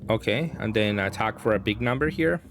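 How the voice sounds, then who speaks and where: A man's voice: fairly low pitch, expressive, fairly quick. A man giving casual gameplay commentary, thinking aloud in a hesitant way as he plays. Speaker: card game player presenting match commentary. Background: music.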